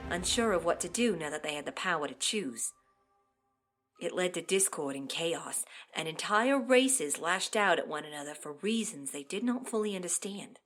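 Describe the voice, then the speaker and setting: Woman voicing cartoon opossum mother, earnest dramatic storyteller, casual playful asides, easily flustered, prominent background music. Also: fairly low pitch. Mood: solemn. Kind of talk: animated fandub, bedtime-story narration. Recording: loud music behind the voice.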